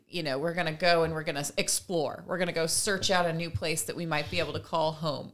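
A woman talks as a co-host in a casual, conversational style. Her voice sits a little low but is bright and forceful, and she slips into imagined quotes to make her points. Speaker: podcast co-host.

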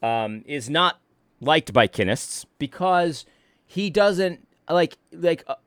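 A man's voice: on the high side, lively intonation, slow, fairly bright tone, no room sound, clean studio sound. A married man from Connecticut, hosting a casual reaction commentary with many restarts and mocking asides. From Connecticut, USA. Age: adult, married. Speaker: commentary host reacting to a clip.

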